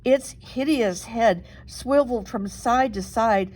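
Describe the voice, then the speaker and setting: A woman reading a children's story aloud as an expressive narrator, with dramatic, deliberate emphasis. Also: a little high. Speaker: storybook reader, reverend.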